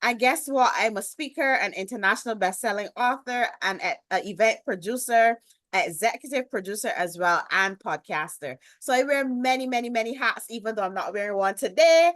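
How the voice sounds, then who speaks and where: A woman from Barbados in her thirties with a Barbadian accent, a guest telling her story in an interview with expressive emphasis. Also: a little high, fairly quick, no room sound. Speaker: guest, business lifestyle strategist. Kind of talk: interview, personal introduction.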